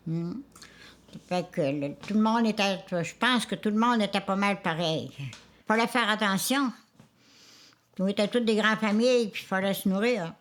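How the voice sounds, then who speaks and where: A woman in her nineties with a Charlevoix Quebec French accent, reminiscing in a halting, rambling way with many restarts. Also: medium pitch. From Quebec, Isle-aux-Coudres. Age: 90s. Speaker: interviewee.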